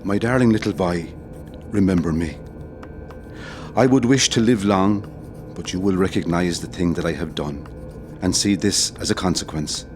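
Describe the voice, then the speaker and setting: An actor with an Irish accent playing a grave, tender condemned rebel commandant in a solemn audio drama. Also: male voice, sits low, moderate pace, darkish tone, soft background music, close to an everyday voice. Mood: tender, sorrowful.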